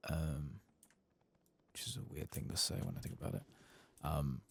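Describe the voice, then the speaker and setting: A man giving soft-spoken, murmured ASMR commentary while playing a video game, with a husky, breathy voice. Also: rumbling low, lively intonation. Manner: quiet, murmured, unhurried.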